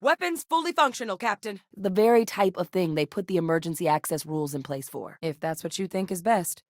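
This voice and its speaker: An actress as a composed, low-voiced woman scientist. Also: a little fast, dark tone, no room sound, studio quality, close to an everyday voice. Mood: composed, earnest. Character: composed, earnest middle-aged female scientist and war veteran. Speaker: voice actress in a space game. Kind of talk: scripted video game dialogue.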